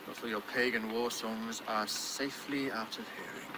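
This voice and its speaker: An actor playing a pious, measured English king in a game cutscene, stately and dry in manner. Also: lowish voice, steady tone, heavy background noise, close to an everyday voice. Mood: dry, composed. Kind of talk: video game cutscene acting.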